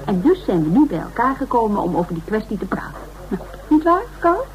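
A woman's voice: mid-range voice, big pitch swings, fairly quick, close to an everyday voice. A woman playing a chatty, meddling go-between friend in a radio play. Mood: chatty, coaxing. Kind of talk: naturalistic Dutch radio play acting. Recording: dull radio play recording, faint noise.

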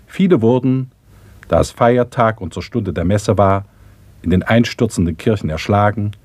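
A man narrating a radio drama in a measured, factual way. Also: on the low side, darkish tone, dry recording.

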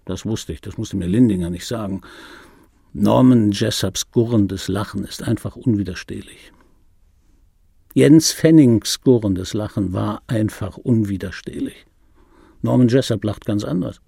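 A male actor playing a vain, aggrieved middle-aged dubbing actor in a German radio drama monologue, insistent and repetitive, with a raspy voice. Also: fairly low pitch, measured pace, dark tone, dry recording, studio quality, heavily acted. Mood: proud, aggrieved. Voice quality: raspy, gravelly. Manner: insistent, repetitive, self-justifying. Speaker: narrator of a radio play. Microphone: close studio microphone, dry.

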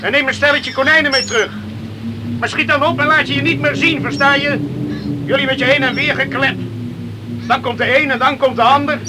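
An actor playing a gruff, irritable young man in a radio play, curtly and agitatedly sending people away. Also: extremely high pitch, fairly even intonation, brisk, very noisy. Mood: irritated, curt. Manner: curt, agitated, shouting people off.

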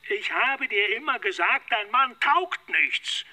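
A man's voice: very high voice, expressive, slow, bright, forceful tone, full character voice. An older man acting in a German radio drama as a stern, agitated father, speaking insistently and indignantly. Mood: agitated, reproachful. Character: agitated, stern older father disapproving of his son-in-law.

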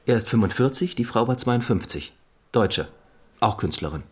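A man's voice: mid-range voice, expressive, moderate pace, breathy edge. An actor playing a matter-of-fact police investigator reporting tersely to his superior in a radio crime drama.

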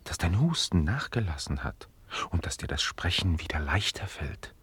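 An actor playing an inquisitive gentleman master, measured and probing, in a German radio play. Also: deep voice, sing-song, husky, no room sound, heavily acted. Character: inquisitive gentleman master addressing his servant.

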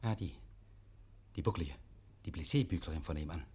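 An actor in a 1950s German radio play, playing a nervous, evasive private scholar who talks in a fussy, pedantic, over-polite and agitated way. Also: male voice, a bass voice, animated, brisk, a little mellow, husky, heavily acted. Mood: testy, scornful. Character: nervous, evasive male private scholar hosting policemen, defensive and testy. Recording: vintage 1951 radio drama recording.

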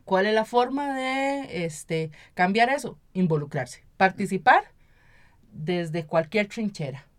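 A woman's voice: medium pitch, animated, takes it slowly. Costa Rican woman explaining in an interview. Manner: explanatory, often tags statements with "¿verdad?". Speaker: interview guest, local council member and activist. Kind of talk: conversational radio interview.

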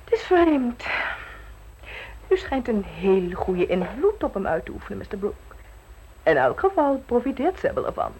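A woman's voice: on the high side, sing-song, normal speed, mellow, a little hiss or hum, poor audio. An actress playing a shrewd aristocratic lady hosting tea in a radio play, arch, gossipy and probing.

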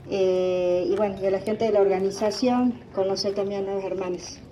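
Distant mellow-voiced woman in her forties. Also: mid-range voice, steady tone, muffled recording, a little hiss or hum. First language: Guarani. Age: 40s. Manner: halting, many fillers and restarts. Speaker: guest speaker. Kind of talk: recorded address at a gathering.

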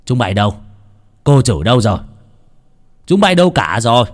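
A male storyteller plays an ailing old patriarch of a village household in a horror tale. He is crude, bossy and irascible, groaning and scolding in drawn-out phrases that swing widely in pitch. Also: sing-song, warm tone, heavily acted. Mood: agitated, impatient.

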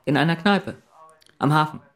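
An actor playing an inquisitive police colleague in a radio drama, casual but probing and insinuating. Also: male voice, fairly high pitch, normal speed, a bit bright, close to an everyday voice.

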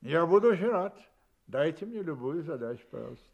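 A man acting a vain, didactic gentleman detective in an audio play, speaking in a pedantic, emphatic manner. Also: fairly high pitch, sing-song, fairly quick, creaky, lo-fi sound, full character voice. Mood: smug, self-assured. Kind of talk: theatrical radio-play dialogue.

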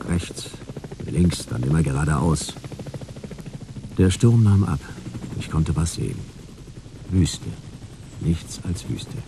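An actor playing a laconic hard-boiled detective, narrating a German sci-fi radio play in clipped phrases. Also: male voice, extremely low pitch, takes it slowly, pauses often, noisy background, exaggerated voice. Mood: tense, laconic.

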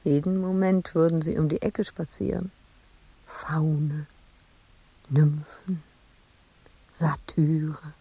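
A slow-speaking, melancholy woman, creaky voice. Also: deep voice, flat delivery, highs cut off, full character voice. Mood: brooding, bitter. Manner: halting, hushed, intimate delivery with pauses. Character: melancholy woman sculptor remembering her family and past. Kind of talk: radio drama monologue.

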